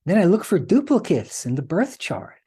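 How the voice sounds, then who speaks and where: A man's voice: high voice, wildly swooping pitch, moderate pace, dark tone, slightly rough. A man hosting a podcast, explaining things in a casual, conversational way. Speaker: podcast host.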